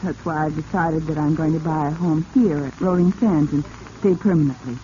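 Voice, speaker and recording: Lady acting a rich lonely wife in 40s radio drama, soft dark tone, hesitant and polite, bit of hiss. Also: deep voice, a little fast, natural voice. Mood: shy. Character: lonely, genteel wealthy married woman, wistful. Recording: dull, noisy vintage radio recording.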